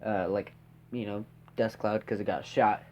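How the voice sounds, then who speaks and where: A man's voice: fairly low pitch, fairly even intonation, a little slow, a little mellow, a little hiss or hum. Teenage boy, stuffed-up nose, casual podcast host, rambling, many fillers, tag questions. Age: teens. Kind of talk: casual storytelling.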